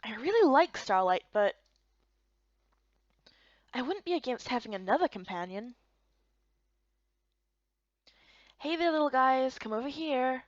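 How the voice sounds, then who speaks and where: Woman as chatty young fantasy game heroine, earnest, really animated high voice, dull recording. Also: moderate pace, long pauses, dry recording. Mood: delighted.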